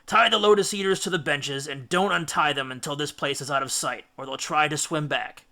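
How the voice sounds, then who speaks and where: A man's voice: fairly high pitch, fairly quick, sharp tone. A man voicing a bold ship's captain who rallies and commands his men in a storybook reading. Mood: commanding. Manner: commanding, rallying.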